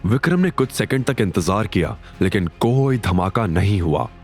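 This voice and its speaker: Lively low-voiced man narrating drama. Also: normal speed, soft background music. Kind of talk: dramatic scripted audio-story narration.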